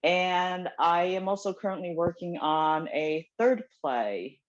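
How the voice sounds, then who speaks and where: A woman's voice: lowish voice, animated, takes it slowly. Chatty woman, muffled call audio. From South Korea, raised in New Jersey. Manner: chatty, many ums, self-deprecating, laughs easily. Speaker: playwright guest. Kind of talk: casual interview chat. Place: home, dog barking nearby.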